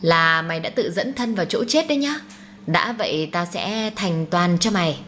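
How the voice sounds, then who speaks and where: A woman's voice: mid-range voice, lively intonation, brisk, close to an everyday voice. A woman narrator acts a scheming second wife in an audiobook melodrama. She is contemptuous and mocking, a spiteful villain. The recording has some background noise. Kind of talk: dramatised audiobook storytelling.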